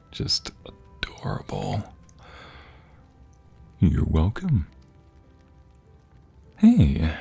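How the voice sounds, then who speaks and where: A man playing an affectionate, playfully teasing husband in an intimate ASMR roleplay, soft, husky and gravelly, with murmured coaxing and breathy whispers. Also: low-pitched, full character voice. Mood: teasing, amused. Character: affectionate, playfully teasing, sappy husband doting on his wife.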